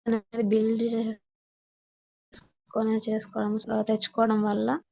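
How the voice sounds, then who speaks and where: Higher-voiced Telugu woman teacher, dull audio. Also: monotone, normal speed, creaky. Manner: explanatory, switching between Telugu and English. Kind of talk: online class lecture, reading points in English then explaining.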